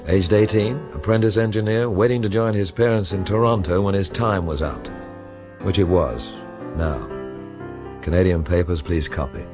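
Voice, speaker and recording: An actor playing a sardonic, wisecracking stranger in town, narrating and talking in a dry, wry way in a radio drama. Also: male voice, low-pitched. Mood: wry, sombre.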